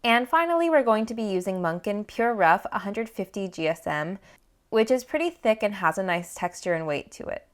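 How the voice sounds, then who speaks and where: Woman, artist vlogger, casual explaining, chatty, "like" fillers, mid-pitched voice. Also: moderate pace. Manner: relaxed, chatty, frequent "like" fillers. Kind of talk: casual explanatory vlog narration.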